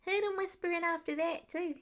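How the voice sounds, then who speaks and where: Excitable young boy for story audio, played by a woman: high, chirpy, childlike, eager. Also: fairly quick. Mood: earnest. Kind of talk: storybook-style character reading.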